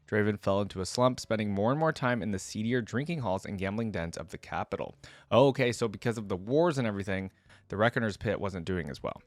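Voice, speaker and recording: Guy hosting a reaction vid, kinda deep voice, no room echo, reads stuff out and comments casually. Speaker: host of a gaming reaction channel. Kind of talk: casual reaction commentary, reading text aloud and remarking on it.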